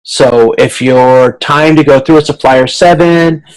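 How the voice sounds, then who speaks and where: Man lecturing informally, halting delivery. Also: mid-range voice, poor audio. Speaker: college instructor. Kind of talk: video conference lecture explaining slides, informal.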